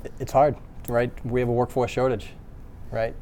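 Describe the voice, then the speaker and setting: A man's voice: medium pitch, flat delivery, normal speed, dark tone, slight background noise. A man, a construction company president, speaking candidly in a panel discussion, often ending points with a questioning tag. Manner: candid, earnest, many fillers and tag questions.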